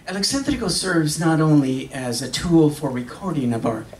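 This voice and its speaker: An older man performing a dramatic reading on stage in a solemn, theatrical manner with long pauses. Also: a little high, expressive. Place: stage in an auditorium. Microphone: stand microphone heard from a distant camera. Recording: echoey hall, distant camera, faint noise.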